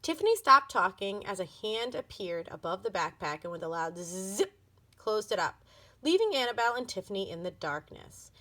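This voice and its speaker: A woman reading a children's book aloud as an expressive storybook narrator. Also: medium pitch, normal speed.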